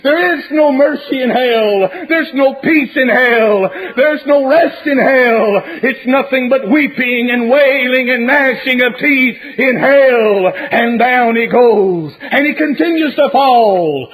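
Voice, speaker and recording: Very high-pitched man preaching hellfire. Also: fairly bright tone, low-quality recording. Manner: dramatic, repetitive, drawn-out phrasing. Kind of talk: fire-and-brimstone sermon.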